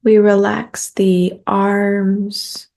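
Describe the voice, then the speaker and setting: A woman leading a guided meditation in a gentle, soothing, unhurried manner. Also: mid-range voice, flat delivery, gravelly.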